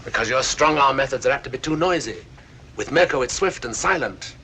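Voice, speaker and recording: An actor playing a suave, calculating villain in a 1940s film, talking in a formal, deliberate way. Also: male voice, mid-range voice, expressive, pushed and bright. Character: suave, calculating male villain, regretful yet ruthless. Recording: noisy old 1940s film soundtrack.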